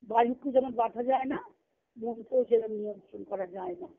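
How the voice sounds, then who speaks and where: A woman's voice: on the high side, lively intonation, normal speed, vocal fry. The sound is narrow and tinny, as on a telephone conference line. A woman gives a devotional discourse in the manner of a teacher, building it from short phrases, repeated points and rhetorical questions. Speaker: spiritual teacher giving a discourse.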